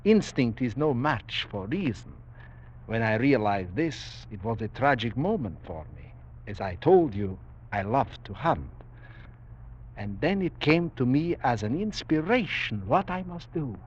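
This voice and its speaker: Suave menacing general, wide swooping delivery. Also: male voice, medium pitch, big pitch swings, a little slow, slightly rough. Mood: smugly confiding. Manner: courtly, formal, coolly boastful, savoring his words. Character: suave, menacing aristocratic general who hunts men for sport. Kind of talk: 1940s radio acting. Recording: dull vintage 1940s radio broadcast.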